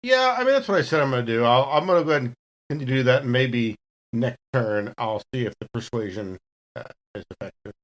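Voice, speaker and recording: Mid-pitched man playing a tabletop game. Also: animated, quick pace. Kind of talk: casual roleplay and table talk.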